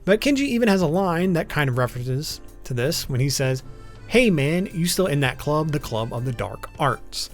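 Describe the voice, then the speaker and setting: A man with a fairly high voice narrates a scripted video essay in a casual, informal way. His intonation swings in a lively way and he slips in wry asides. Music plays underneath. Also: moderate pace. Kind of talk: informal scripted commentary on a list of topics. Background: background music.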